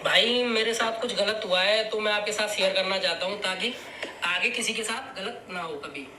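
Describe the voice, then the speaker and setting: Aggrieved guy arguing, highish voice, repeats himself, roomy and really noisy recording. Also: moderate pace, pushed and bright. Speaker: athlete, complainant. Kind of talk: phone argument and personal complaint. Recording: echoey, noisy phone-call recording.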